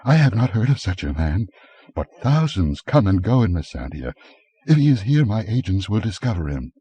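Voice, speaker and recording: A man's voice: on the low side, sing-song, moderate pace, warm tone, pitched above the performer's own voice. A man reading an audiobook, voicing a nervous rich merchant who whispers and pleads in fear. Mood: placating.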